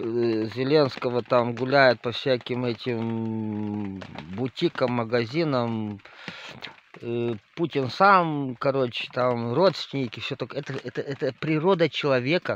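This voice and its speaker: A man's voice: medium pitch, a bit bright. A man from northern Moldova, speaking as a spiritual leader in a rambling monologue full of fillers, addressing listeners directly. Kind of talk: spoken monologue to viewers. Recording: slightly dull lo-fi audio with faint noise.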